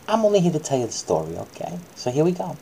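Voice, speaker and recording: A woman's voice: deep voice, gravelly, darkish tone, husky, poor audio. A woman acting out a bedtime story for children, moving between dramatic reading and coaxing, soothing asides.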